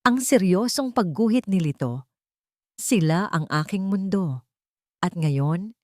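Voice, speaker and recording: A woman narrating a scripted first-person dramatic story in measured, short phrases. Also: mid-range voice, no room sound, clean studio sound.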